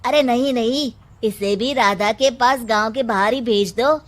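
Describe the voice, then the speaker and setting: Cartoon village lad, played by a woman, high pitch, slow emphatic phrasing, excitable, scheming, theatrical. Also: close to an everyday voice. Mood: urgent, accusing. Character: excitable, scheming young village man stirring up alarm. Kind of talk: Hindi cartoon story dialogue.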